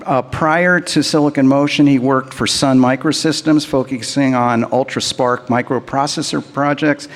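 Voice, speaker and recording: A man acting as conference emcee, reading a speaker introduction in a steady, formal way with small fillers. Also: medium pitch, normal speed, hardly any pauses. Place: large conference hall. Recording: reverberant hall.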